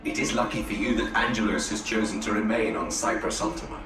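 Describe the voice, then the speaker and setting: An actor playing a composed, formal elf spymaster. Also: male voice, on the low side, steady tone, fast talker, roomy sound, far from the mic, over music, natural voice. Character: cool male elf spymaster, calm and formal.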